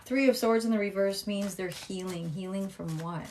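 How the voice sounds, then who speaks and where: A young adult woman giving a casual live tarot reading, with a calm, soothing voice, chatting, swearing freely and reading viewer comments aloud. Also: mid-range voice, normal speed, heavy background noise. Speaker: tarot reader live-streaming.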